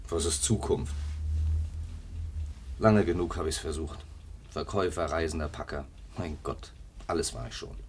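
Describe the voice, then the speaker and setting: A man's voice: a bass voice, normal speed, long pauses, slight background noise. An actor playing a restless, self-doubting drifter in his thirties in a 1950s German radio play, brooding, given to bursts of fervour. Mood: brooding, despairing. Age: 30s.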